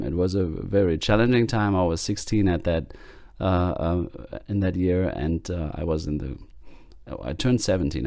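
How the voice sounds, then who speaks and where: German-accented man with a very low voice. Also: dark tone, no echo, studio quality. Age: late 30s. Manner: hesitant, many fillers and restarts. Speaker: podcast host. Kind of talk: casual solo podcast talk.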